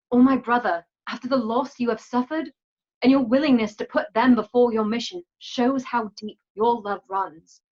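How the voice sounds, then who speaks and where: Solemn, resolute sister played by a woman, muffled recording. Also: fairly high pitch. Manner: formal, solemn, measured phrasing. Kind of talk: online radio play acting. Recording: dull, lo-fi home microphone.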